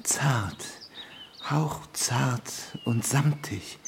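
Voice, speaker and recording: An actor playing a naive, lovestruck young ape-human student in a radio drama, dreamy, poetic and halting. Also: male voice, mid-range voice, background noise. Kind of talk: German radio drama acting.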